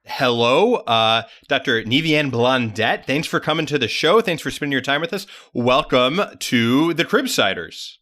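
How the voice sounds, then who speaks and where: A man hosts a casual podcast interview. His voice is bright and forceful, sitting at an average pitch, and he talks in loose, chatty banter. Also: expressive, unhurried, few pauses. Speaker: podcast host.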